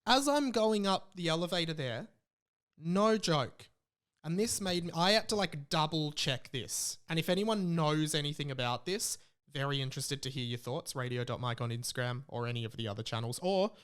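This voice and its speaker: A man's voice: on the high side, normal speed, clean tone. A man in his late twenties with an Australian accent, hosting a podcast in a casual, comedic rant, exaggerating and repeating things for emphasis. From Melbourne, Australia. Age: late 20s. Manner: animated, exaggerating, emphatic repetition. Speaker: podcast host.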